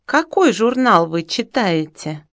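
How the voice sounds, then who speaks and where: Luda, a friendly young student in a course dialogue. She speaks at a very slow, clear pace in a mid-range voice. The sound is dry and close. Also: medium pitch, no echo. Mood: plain. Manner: clearly enunciated, even. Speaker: dialogue reader in a language course.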